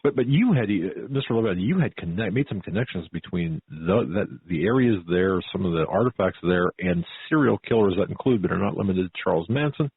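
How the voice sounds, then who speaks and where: A man hosting a conversational radio interview, with a halting, repetitive, folksy and emphatic way of talking. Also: deep voice, animated, breathy edge. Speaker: talk show host. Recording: phone-like tinny band, roomy echo.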